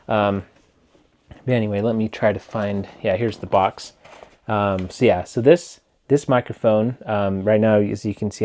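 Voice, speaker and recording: Low, mellow-voiced man rambling casually. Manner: rambling, filler-heavy, relaxed. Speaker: tech reviewer. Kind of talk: casual product review. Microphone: wireless clip-on mic into phone.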